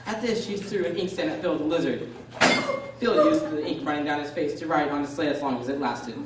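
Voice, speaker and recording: A woman playing the narrator of a children's fantasy radio play, reading descriptively in a measured storybook manner. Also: deep voice, lightly acted. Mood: wry storytelling. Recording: very echoey room, distant, faint noise.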